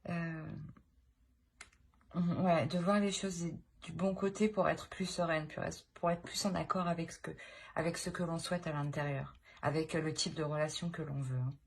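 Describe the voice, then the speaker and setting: A woman's voice: low-pitched, little pitch movement, moderate pace. A woman giving an informal tarot reading to viewers, hesitant and thinking aloud as she goes. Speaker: tarot reader and channel host. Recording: clear background noise, clicks.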